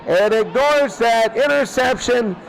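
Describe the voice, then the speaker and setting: A man's voice: very high voice, slow pace, vocal fry, crowd noise, poor audio. A man with an American accent calling football play by play, exclaiming on big plays. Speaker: play-by-play commentator. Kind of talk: live American football commentary.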